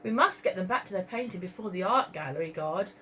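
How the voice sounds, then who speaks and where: A woman's voice: lowish voice, animated, fast, a bit bright, slight background noise. A woman playing a keen, anxious young countrywoman in a storybook, speaking in urgent exclamations. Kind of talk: storybook character voice.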